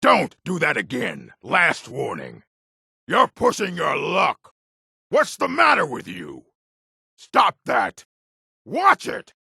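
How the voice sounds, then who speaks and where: Video game orc, man, gritty husky voice, forceful edge, blunt, combative, curt. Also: on the high side, wildly swooping pitch, slow, no room sound, studio quality, exaggerated voice. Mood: angry, threatening. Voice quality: gravelly, rough, husky. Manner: blunt, curt, threatening delivery. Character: gruff, combative adult male orc townsman and merchant. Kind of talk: video game character barks.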